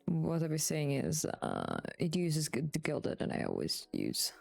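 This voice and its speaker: A woman giving casual live gameplay commentary. Her voice is on the low side with a creaky edge and lively swings, recorded close and dry over faint game music. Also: a little slow, no echo. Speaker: game livestreamer.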